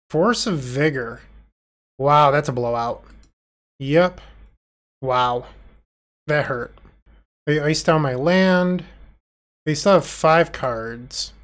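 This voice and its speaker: A man with a congested, nasal voice from being sick, giving casual self-deprecating commentary on his own online card game. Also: fairly high pitch. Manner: self-deprecating, thinking aloud. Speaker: gameplay commentator.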